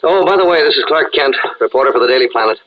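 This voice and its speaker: An actor playing a brisk, gruff police inspector in a 1940s radio drama. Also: male voice, medium pitch, animated, slight background noise, natural voice. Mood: brisk, businesslike. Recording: muffled vintage radio recording.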